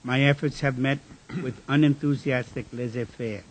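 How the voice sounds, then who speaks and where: An actor playing a frustrated whistleblower in a radio drama, reading out a formal letter in a measured, deliberate way. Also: male voice, medium pitch, fairly even intonation, slight background noise, natural voice, rough recording. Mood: determined, formal.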